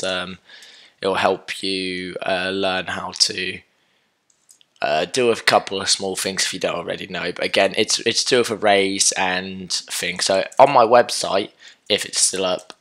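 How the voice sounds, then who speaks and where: Young guy, sick and hoarse, low but bright tone, chatty tutorial host. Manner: casual and chatty, with many fillers and self-corrections. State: sick, sore throat, losing his voice. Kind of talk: casual screen-recorded coding tutorial.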